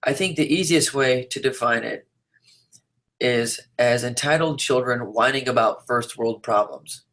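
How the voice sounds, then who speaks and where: Measured man with a mid-range voice. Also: mid-range voice, a bit bright. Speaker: reader of an interviewee's typed answers. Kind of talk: reading written answers aloud in an interview.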